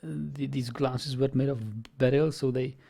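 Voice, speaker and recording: A man talks slowly through his game, pausing and restarting his sentences. His voice has a gravelly, creaky edge and sits at an ordinary pitch. He muses aloud and is in no hurry. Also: steady tone, dark tone. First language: Czech. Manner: hesitant, musing, many ums and restarts. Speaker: let's play host. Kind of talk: gameplay commentary.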